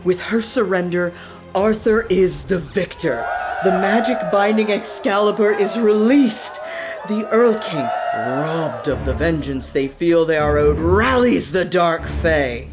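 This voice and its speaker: A woman giving dramatic, deliberate scripted narration for a radio play. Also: lowish voice, lively intonation, music in the background.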